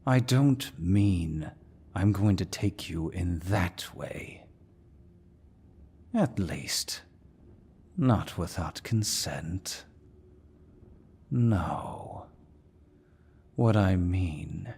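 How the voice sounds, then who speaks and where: A man playing a menacing demon lord in an ASMR fantasy roleplay, with a deep, gravelly voice and a dominant, smug, taunting manner. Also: darkish tone, full character voice. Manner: dominant, smug, slow and deliberate.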